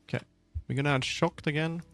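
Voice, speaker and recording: A man chatting casually on a livestream as he works, often halting and muttering to himself. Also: fairly high pitch, fairly even intonation, a bit bright. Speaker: livestreamer making a game. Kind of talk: casual livestream chat while working. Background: background music.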